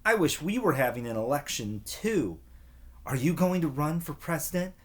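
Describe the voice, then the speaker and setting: An excitable little brother of kindergarten age from a storybook, acted out by a man. He is eager and pestering, with pitch that lifts and swings about. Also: normal speed, close to an everyday voice.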